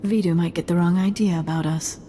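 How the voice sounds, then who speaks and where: An actress playing a flirtatious, glamorous aide to a crime boss in a video game, coy and teasing. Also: female voice, on the low side, steady tone, brisk, warm tone, slight background noise, close to an everyday voice. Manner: coy, teasing, smooth-talking. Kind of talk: scripted video game acting. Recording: game music behind the voice.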